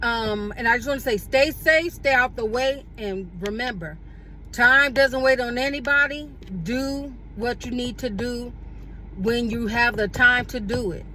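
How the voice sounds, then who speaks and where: A woman in her forties with a slightly high voice talks to her viewers from the seat of her parked car. Her delivery is casual and unhurried, with plenty of fillers and restarts. Faint street noise seeps in from outside. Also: sharp tone, poor audio. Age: 40s. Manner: unhurried, with many fillers and self-corrections. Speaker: vlogger. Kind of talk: casual reflective talk to camera.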